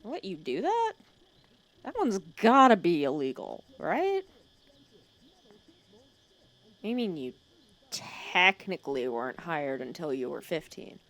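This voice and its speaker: Woman acting a creaky-voiced, teasing, protective villainess. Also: fairly low pitch, very animated, a little slow, exaggerated voice. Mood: disapproving, suspicious. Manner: scolding yet caring, sarcastic, dropping into hushed breathy asides. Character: teasing, protective female villain nursing her sick hero nemesis. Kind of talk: ASMR roleplay audio drama.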